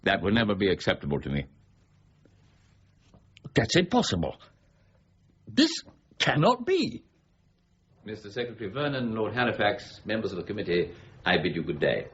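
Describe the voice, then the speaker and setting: An actor playing a proud, irascible older mint official in a period radio drama, brusque, formal and precise. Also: male voice, fairly low pitch, huge pitch swings, moderate pace, pauses often, husky, full character voice. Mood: shocked, affronted. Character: proud, irascible older male royal mint warden, Isaac Newton. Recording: dull, faintly noisy radio recording.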